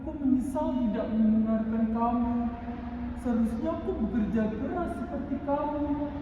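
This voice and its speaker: A woman's voice: on the high side, normal speed, natural voice. A woman voicing a carefree, music-loving grasshopper in a children's story. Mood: regretful, pleading. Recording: muffled, distant, very echoey noisy hall.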